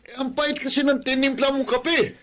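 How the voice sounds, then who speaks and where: A man's voice: extremely high pitch, level tone, moderate pace, fairly bright tone, slight background noise. An actor playing an insolent, mocking, quarrelsome young Filipino man in a radio drama, sneering and taunting. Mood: scornful.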